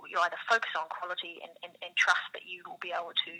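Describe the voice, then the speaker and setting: A woman's voice: fairly low pitch, level tone, quick pace, bright, forceful tone, low-quality recording. A woman with a New Zealand accent, answering interview questions as a business co-founder in a thoughtful, circling way full of "you know".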